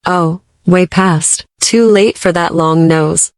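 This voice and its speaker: A woman's voice: lowish voice, slow pace, no echo. An actress playing a scheming, menacing female pirate with a cool, threatening manner in an anime audio drama.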